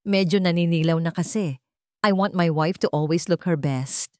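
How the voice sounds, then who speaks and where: A woman with a Filipino accent voicing a smooth, casual, wealthy husband in a story. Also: lowish voice, moderate pace, a little mellow, no echo, clean studio sound, natural voice.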